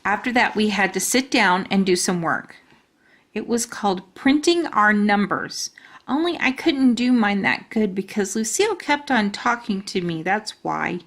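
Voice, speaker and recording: A woman's voice: medium pitch, lively intonation, measured pace, a bit bright. A woman reading a children's storybook aloud as a storytime reader.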